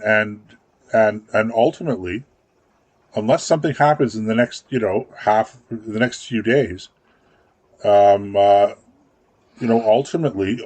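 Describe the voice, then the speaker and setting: A man with a fairly low voice, talking politics on a radio show. He rambles a bit and doubles up his words as he thinks, and the sound is dry and close to the mic. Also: a little mellow, no room sound. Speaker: radio talk show co-host. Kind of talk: casual political chat.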